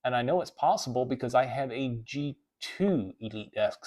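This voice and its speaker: A man's voice: mid-range voice, animated, normal speed, darkish tone. Rambling Southern American man. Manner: rambling, self-correcting, reads chat aloud. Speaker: livestream host. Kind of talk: casual tech chat livestream. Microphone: desk microphone.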